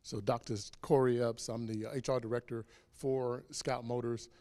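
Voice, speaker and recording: A man's voice: medium pitch, flat delivery, normal speed, mellow, big-room sound, slight background noise. A man from South Carolina, an HR director speaking plainly at a public presentation.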